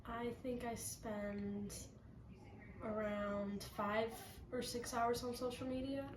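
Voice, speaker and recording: A woman's voice: a little high, fairly even intonation, slight background noise, low-quality recording. A young woman giving short, hesitant interview answers, recorded from a little way off. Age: young adult. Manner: hesitant, slow. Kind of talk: casual answers.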